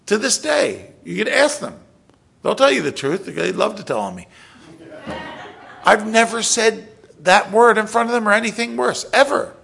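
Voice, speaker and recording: A middle-aged male pastor with a gravelly, husky voice pitched a little high. He preaches in a loose, conversational way, restarting his sentences, then pushing up to emphatic peaks before dropping almost to a whisper. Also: sharp tone. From South Philadelphia. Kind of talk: sermon.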